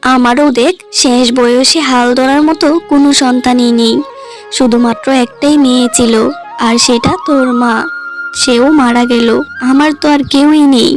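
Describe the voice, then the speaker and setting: A woman's voice: sits high, steady tone, moderate pace, lightly acted. A woman voicing a poor, frail old grandmother who scolds and worries over her granddaughter in a cartoon. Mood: lamenting. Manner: scolding, weary, protective. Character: poor frail old grandmother, loving, bitter at son-in-law. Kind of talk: cartoon voice acting. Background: music.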